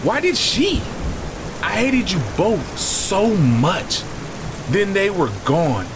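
A man's voice: high voice, big pitch swings, slow pace, exaggerated voice. A man acting an embittered, grieving brother in an audio drama, with anguished delivery. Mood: anguished, accusing. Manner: anguished, profane outbursts. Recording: heavy rain and storm noise behind. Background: rain and storm ambience.

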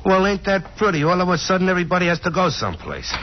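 An actor playing a sullen, grumbling small-time crook with slangy talk, in a 1940s radio drama on a muffled, noisy recording. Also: male voice, high-pitched, very animated, quick pace. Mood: grumbling, miffed.

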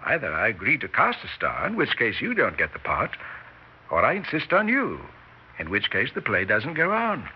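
An actor playing a smooth-talking, flattering theatrical con man, grandiose and persuasive, in a 1960s radio drama. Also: medium pitch, moderate pace. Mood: regretful, hesitant. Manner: grandiose, persuasive, falsely reluctant. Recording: faintly noisy, dull 1960s radio recording.

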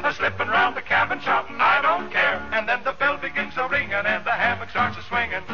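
A man's voice: very high-pitched, a little fast, bright, forceful tone. A man singing a novelty song in a vocal group, in 1940s radio style. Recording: muffled old radio recording with music.

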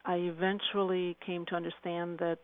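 A woman speaking as a radio interview guest, answering conversationally in an earnest, reflective and halting way. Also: lowish voice, little pitch movement, phone-quality sound.

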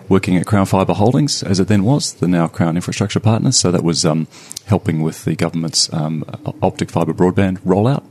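A man with an Australian accent, a guest on a podcast, answering questions in quick, fluent conversational talk with frequent fillers. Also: low voice, darkish tone. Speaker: management consultant, podcast guest.

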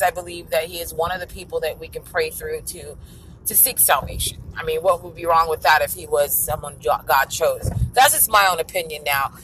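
A woman with a raspy voice hosting an online radio show, giving frank, chatty opinions on the news. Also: fairly low pitch, a bit bright, no room sound, low-quality recording. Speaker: internet radio host. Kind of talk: casual talk-radio commentary.

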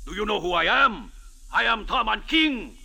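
An actor playing a loud, pompous, indignant Egyptian man with blustering, imperious, formal speech in a 1940s radio drama. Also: sits high, sing-song, normal speed, creaky, husky, full character voice. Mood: outraged.

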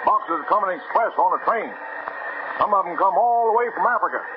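An actor playing a wry, laconic small-town driver in an old radio drama, with deadpan rustic delivery. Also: male voice, sits high, brisk. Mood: wary, ominous. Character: folksy small-town driver, wry, ominous and dryly joking. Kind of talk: 1950s radio drama acting. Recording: tinny, noisy old radio recording.

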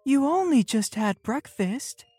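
A woman's voice: high voice, animated, a little slow, dark tone, slightly rough, no echo, faint music behind, pitched above the performer's own voice, heavily acted. A woman voicing a kindly, playful, inventive mother in a children's storybook. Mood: amused.

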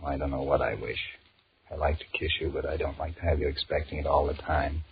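A man's voice: extremely low pitch, steady tone, fast talker. An actor in a 1940s radio drama playing a weary, restless married businessman who grumbles and wheedles as he flirts with his secretary. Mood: flirtatious, grumbling. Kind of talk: 1940s radio drama acting, inner monologue and dialogue. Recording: muffled, hissy 1940s radio recording.

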